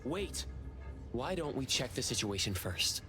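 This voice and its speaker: A man's voice: mid-range voice, big pitch swings, unhurried. A man playing a cautious, polite and formal investigator in an anime-style game. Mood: urgent, reasoning. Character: level-headed, cautious male investigator. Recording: loud music under the voice.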